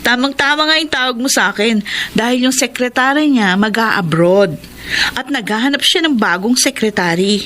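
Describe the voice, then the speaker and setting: A woman's voice: on the high side, moderate pace, a bit bright, close to an everyday voice. An actress playing Melba, a chatty and helpful young woman, in a radio drama. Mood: friendly.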